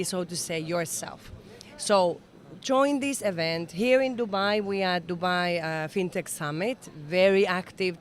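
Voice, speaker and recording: A woman in her sixties from Finland, a native Finnish speaker, giving an earnest, enthusiastic interview at an event. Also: mid-range voice. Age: 60s. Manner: enthusiastic, earnest, slightly non-native phrasing. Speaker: interview guest. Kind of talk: conversational interview. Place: event summit venue.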